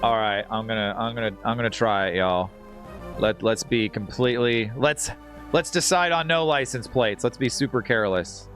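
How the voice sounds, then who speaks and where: A man's voice: mid-range voice, normal speed, over music. A man chatting casually while live-streaming a game, with slangy, profane, easygoing talk. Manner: informal, slangy and profane, laughs easily.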